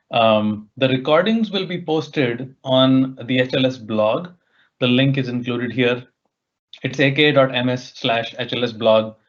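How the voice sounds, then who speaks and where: Male webinar host, mid-pitched, conversational delivery, heard on dull compressed call audio. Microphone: online meeting microphone.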